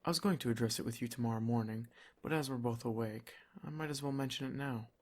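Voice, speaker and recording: A man playing a doting, protective vampire lord in an ASMR roleplay, soft-spoken, formal and reassuring. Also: mid-range voice, fast, close to an everyday voice. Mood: grave, concerned.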